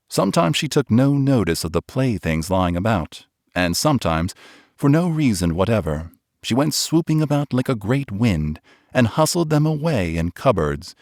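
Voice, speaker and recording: A man narrating a children's storybook in a gentle, expressive way, slowing on the tender lines. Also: medium pitch, no room sound, clean studio sound. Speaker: audiobook narrator.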